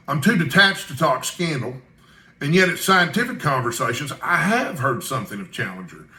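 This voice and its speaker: A man's voice: on the high side, bright, forceful tone, echoey room. A man voicing a blunt, detached, gossipy bacteriologist in an audiobook, with a dry, wry, bookish manner. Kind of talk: audiobook character dialogue.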